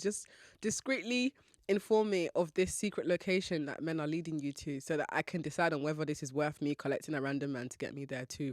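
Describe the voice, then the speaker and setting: A woman's voice: lowish voice, fairly bright tone, no room sound, clean studio sound. A young woman from hosting a solo podcast in a chatty, playful way, often laughing and asking listeners questions. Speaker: podcast host.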